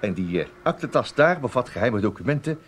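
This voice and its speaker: An actor playing a wry, self-assured investigator in a radio play, explaining himself to a superior. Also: male voice, mid-range voice, sing-song, brisk, slight background noise, close to an everyday voice. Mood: breezy. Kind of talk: Dutch radio play acting.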